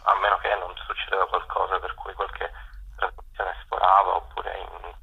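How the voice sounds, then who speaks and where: A man being interviewed on radio by phone, explaining things in a halting way with many pauses. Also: deep voice, little pitch movement, gravelly, breathy edge. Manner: hesitant, halting with frequent pauses. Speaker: community radio programmer, interview guest. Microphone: phone call. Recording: dull telephone call.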